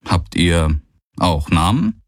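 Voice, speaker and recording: A man's voice: deep voice, big pitch swings, takes it slowly, no echo, exaggerated voice. An easygoing but bewildered stranger, acted by a man in a fantasy audiobook. He talks casually and hesitantly, with awkward friendliness. The sound is dry and close. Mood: nervous, friendly. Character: bewildered, casual, easygoing, embarrassed human male visitor. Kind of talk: audiobook character acting.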